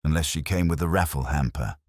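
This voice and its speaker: A man's voice: a bass voice, a little fast, dry recording, clean studio sound. A man voicing an anxious, fussy female club volunteer in a story, precise and gossipy, muttering and hedging. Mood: puzzled.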